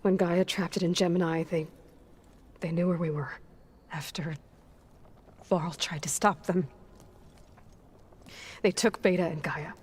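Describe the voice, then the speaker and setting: A woman's voice: on the low side, steady tone, unhurried, pauses often, a little mellow, husky. A woman playing a determined, guarded young warrior who leads through grief in a video game cutscene, earnest and firm. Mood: somber. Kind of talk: cinematic video game acting.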